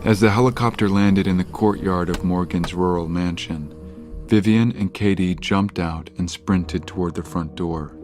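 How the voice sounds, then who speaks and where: A man narrating a scripted audio drama in a measured, grave storytelling manner. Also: low voice. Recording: music under the narration.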